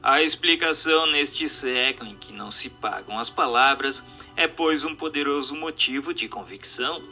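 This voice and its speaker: A man's voice: mid-range voice, moderate pace, bright, forceful tone. A Brazilian man reading a book aloud as a formal, emphatic, declamatory scripted narration. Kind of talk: scripted dramatized audiobook narration. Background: music under the voice.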